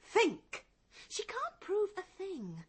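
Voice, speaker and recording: An actress playing a cool, scheming, bossy wife in a radio drama, sharp and commanding. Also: female voice, very high-pitched, wildly swooping pitch, slow pace, exaggerated voice. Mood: urgent, controlling.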